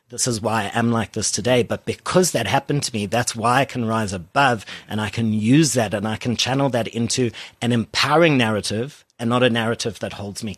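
A man's voice: medium pitch, dry recording. A man who is an author and coach, answering interview questions earnestly and speaking in catchy motivational sayings. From born in South Africa, raised in Germany.

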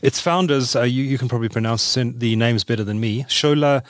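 A man's voice: mid-range voice, fairly quick, no echo. A man hosting a conversational interview in a studio, often putting in short acknowledgements. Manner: frequent short acknowledging replies. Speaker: interview show host.

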